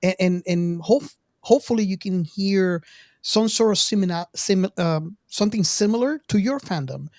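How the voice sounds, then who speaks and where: A woman in a casual panel chat, halting and stammering over the starts of words. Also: mid-range voice, lively intonation, a little mellow, no room sound, studio quality. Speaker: podcast panelist.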